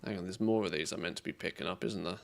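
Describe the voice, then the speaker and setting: A man with an English accent giving relaxed, chatty commentary on a live game stream, reacting to play and greeting viewers. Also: low-pitched, rapid-fire, creaky. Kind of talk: casual live-stream commentary while gaming.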